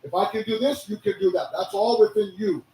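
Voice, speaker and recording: A hoarse man giving a sermon, preaching with animated rhetorical questions. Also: high voice, fairly quick, slight background noise. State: hoarse, losing his voice. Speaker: religious speaker. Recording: echoey hall from a distance.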